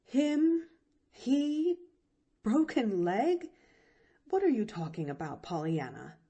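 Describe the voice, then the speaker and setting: Woman reading as a cold, impatient aunt. Warm-toned, varied pitch, clipped delivery. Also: sing-song, slow pace, slightly rough, exaggerated voice. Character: stern, impatient older aunt. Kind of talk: audiobook reading.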